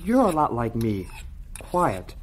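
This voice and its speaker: A man playing a patient, devout older farm brother in a vintage radio drama, gentle and sincere. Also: medium pitch, wildly swooping pitch, takes it slowly, slight background noise.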